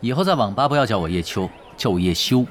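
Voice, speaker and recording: A man's voice: on the low side, lively intonation, fast talker, a little hiss or hum, lightly acted. A man playing a laid-back, confident pro gamer and team leader in an audio drama, offhand and dryly teasing.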